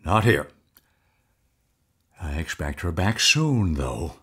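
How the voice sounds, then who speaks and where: Very deep, husky, slow-talking man. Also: sing-song, bright, forceful tone, full character voice. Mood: grave, hesitant. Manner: hesitant, deliberate, placating. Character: evasive, soothing husband hiding an uncanny secret. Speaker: actor in an audio play. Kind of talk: audio drama acting.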